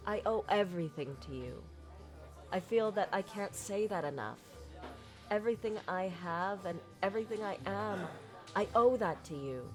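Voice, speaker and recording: A woman playing a flirty, silver-tongued pirate captain in a romantic ASMR roleplay, tender and sincere, with flowery, theatrical phrasing and deliberate pauses. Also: fairly low pitch, lightly acted. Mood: earnest, grateful. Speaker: audio roleplay performer. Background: background music.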